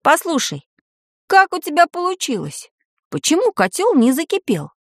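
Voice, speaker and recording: A man's voice: very high-pitched, animated, unhurried, fairly bright tone, dry recording, clean studio sound, heavily acted. A woman voicing a curious, grumbling schoolboy wizard in a storybook, in an informal way. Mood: amazed.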